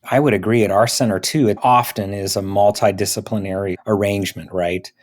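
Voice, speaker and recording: Man, lowish pitch, podcast host, interview style. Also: fairly even intonation, fairly quick. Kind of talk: interview hosting.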